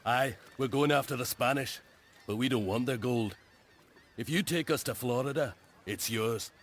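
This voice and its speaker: An actor playing a determined, blunt knight captain in a game cutscene, terse and resolute. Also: male voice, medium pitch, normal speed, natural voice. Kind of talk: video game cutscene acting.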